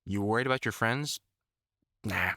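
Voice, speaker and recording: A man's voice: fairly low pitch, normal speed, sharp tone, no echo. A man playing an older, experienced vampire hunter in an audio roleplay, talking informally and reassuringly like a mentor, with dry jokes and mild swearing. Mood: protective, joking. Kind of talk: intimate one-sided audio roleplay, conversational acting.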